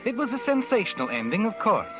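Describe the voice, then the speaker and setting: A man playing a knowing, sardonic mystery narrator in a 1940s radio drama, with dramatic, measured storytelling. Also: high voice, big pitch swings. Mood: wry, ominous. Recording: vintage radio broadcast over music.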